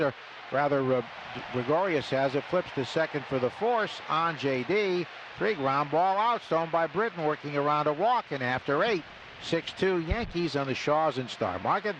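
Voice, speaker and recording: A man's voice: fairly high pitch, noisy background. An American man calling baseball play-by-play live on a radio broadcast. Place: stadium broadcast booth. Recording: muffled radio broadcast, loud stadium ambience. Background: loud ballpark ambience.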